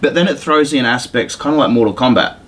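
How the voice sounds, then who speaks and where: A man's voice: medium pitch, animated, quick pace, fairly bright tone, slightly rough. A man hosting a podcast, explaining video games casually in loose, informal phrasing. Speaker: podcast host.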